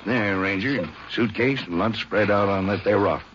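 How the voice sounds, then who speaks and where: A man's voice: lowish voice, moderate pace, a bit bright, natural voice. A man playing a kindly, flustered country rancher in a 1950s radio western, with a folksy rustic manner. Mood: puzzled, kindly. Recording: muffled, noisy 1950s radio recording.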